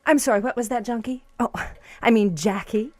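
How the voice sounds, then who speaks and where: A woman's voice: a little high, fairly quick, dry recording, lightly acted. A woman playing a smug, venomous, sycophantic daughter in an audio drama, condescending with theatrical false sweetness. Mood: gloating sweetness.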